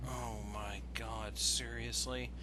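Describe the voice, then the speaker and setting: A man ranting casually on an online voice call, exasperated and excitable, stammering and swearing when he gets worked up. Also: medium pitch, slow. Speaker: guest commentator on an online video.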